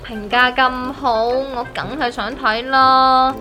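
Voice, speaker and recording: A woman playing a high, bright, whiny young worker. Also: steady tone, slow, soft background music, full character voice. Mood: resigned griping. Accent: Hong Kong Cantonese. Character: gloomy, aggrieved young woman worker griping about her strict grandpa. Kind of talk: comedic audio drama acting.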